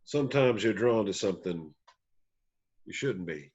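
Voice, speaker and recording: A man playing a low-voiced, laconic older country bandleader. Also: lively intonation, moderate pace, darkish tone, slightly rough, highs cut off. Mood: guarded. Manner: laconic, folksy, wistful. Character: weathered, wry, guarded older male country bandleader. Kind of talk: screenplay table read.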